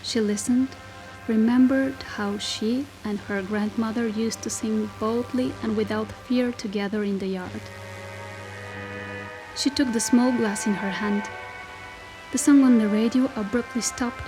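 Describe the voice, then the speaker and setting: Woman narrator for an audio story, voice a bit high, careful measured phrasing, some soft music under it. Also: fairly even intonation, poor audio. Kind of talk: scripted literary audio-drama narration.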